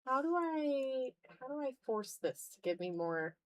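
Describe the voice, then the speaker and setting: A woman's voice: high voice, very animated, a little slow. A woman livestreamer chatting casually while drawing, thinking aloud in fragments with a self-deprecating manner. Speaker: art livestreamer.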